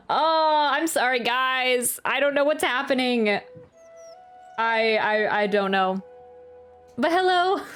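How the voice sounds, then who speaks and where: A young woman hosting a game livestream in a fairly high voice. She chats casually with viewers in a friendly, giggly and apologetic manner, with faint music in the background.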